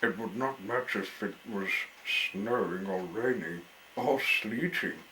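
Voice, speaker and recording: Male children's storyteller, unhurried deliberate phrasing, crisp bright tone, faint noise in the background. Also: lowish voice, low-quality recording. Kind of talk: reading a children's story aloud.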